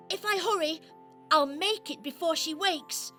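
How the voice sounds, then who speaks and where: A woman plays a little female toy come to life in a children's radio play. She is hopeful and excitable, exclaiming in a high, childlike voice. Faint music plays underneath. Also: slow, heavily acted. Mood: amazed, joyful.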